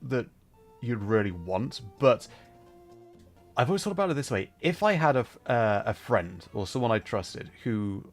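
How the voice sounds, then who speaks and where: A man's voice: mid-range voice, big pitch swings, normal speed, faint music behind. A man hosting a casual livestream, rambling thoughtfully as he plays and answers chat, with an easy tendency to chuckle. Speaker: livestreamer and host.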